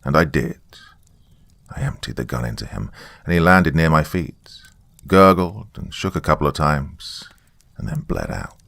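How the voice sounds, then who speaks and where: A man's voice: extremely low pitch, expressive, normal speed, gravelly, fairly bright tone, no echo. A man giving a dramatic first-person reading of a scripted story. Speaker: horror story narrator.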